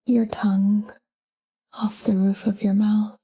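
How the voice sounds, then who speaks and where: Breathy whispering woman guiding sleep, very slow. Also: mid-range voice. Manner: calm, gentle, unhurried with short phrases. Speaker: sleep meditation guide. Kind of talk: whispered guided sleep meditation.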